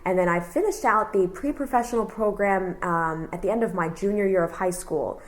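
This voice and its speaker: A woman in her thirties from Texas, whose first language was Cantonese, recounting her life in an oral history interview, relaxed and rambling with many fillers. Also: mid-range voice, a little fast, low-quality recording. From Houston, Texas. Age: 30s.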